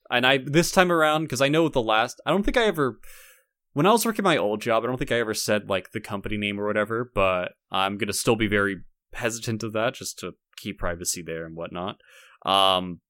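A man's voice: fairly low pitch, a bit bright, dry recording, studio quality. A man co-hosting a podcast in casual chat, rambling and often cutting his own sentences off to start again. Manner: rambling, self-interrupting. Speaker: podcast co-host.

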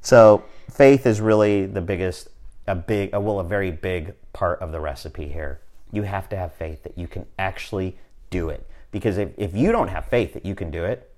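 A man in his fifties hosting a podcast, talking in a relaxed, motivational way and often checking in with "right?". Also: low voice, moderate pace. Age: 50s. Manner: conversational, repeats "right?" often, restarts phrases. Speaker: podcast host. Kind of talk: casual motivational chat.

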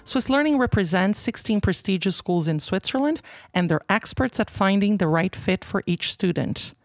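A woman's voice: medium pitch, lively intonation, normal speed, dry recording, clean studio sound. A woman hosting a podcast, polished and enthusiastic as she asks her guest questions. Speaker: podcast host. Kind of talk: scripted podcast interviewing.

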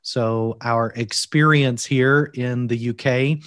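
A man with a Kentucky accent, a guest on a podcast, speaking in an earnest, deliberate way with preacherly emphasis. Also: mid-range voice, fairly even intonation, a bit bright, dry recording, clean studio sound. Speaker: guest, seminary teacher and preacher. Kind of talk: measured podcast interview. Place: recorded from Wales.

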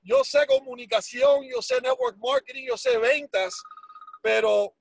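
A man in his forties with a Cuban Spanish accent, telling his life story as an interview guest in a lively, self-deprecating way, slipping in English words. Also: extremely high pitch, unhurried, creaky, no echo. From Miami, United States. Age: 40s.

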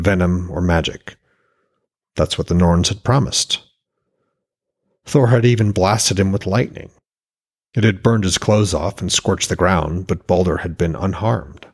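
Male narrator for fantasy audiobook: deep, gravelly, scripted storytelling. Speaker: author and podcast narrator.

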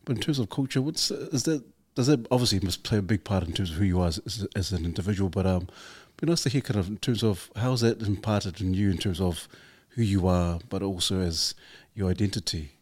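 A man's voice: low voice, brisk, hardly any pauses, darkish tone, slightly rough, no echo. A man hosting a podcast, asking long, meandering questions full of fillers. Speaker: podcast host. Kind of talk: casual interview.

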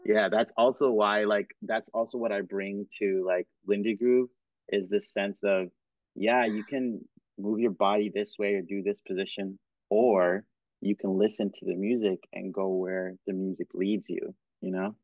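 Low-voiced man on a tinny line. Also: unhurried, no room sound. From Cameroon and Kenya. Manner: relaxed, laughs often, encouraging. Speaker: podcast host. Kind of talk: casual interview chat. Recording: tinny, dry phone-like sound.

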